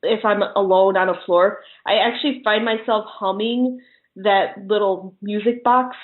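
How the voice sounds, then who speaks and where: A woman's voice: mid-range voice, fairly even intonation, a little slow. A woman chatting casually as an interview guest, telling personal anecdotes and often giggling as she talks. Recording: muffled phone-like call audio.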